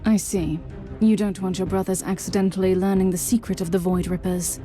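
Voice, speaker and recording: A woman's voice: mid-range voice, fairly even intonation, fairly quick, music in the background, close to an everyday voice. An actress playing a confident, sardonic female inquisitor of a warrior sisterhood. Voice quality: dry, assured, sardonic.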